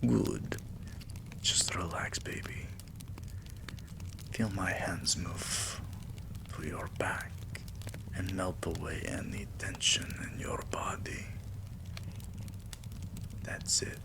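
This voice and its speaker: A man playing a doting, possessive vampire king in an intimate ASMR roleplay, breathy and soothing, coaxing with pet names. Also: low voice, slow, long pauses, heavily acted. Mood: soothing, tender. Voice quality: breathy, whispery.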